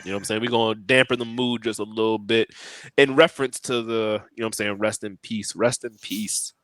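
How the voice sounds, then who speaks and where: A man's voice: on the low side, steady tone, a little fast, fairly bright tone, dry recording. A man co-hosting a podcast, joking and steering the conversation along. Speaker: podcast co-host. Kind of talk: casual chat.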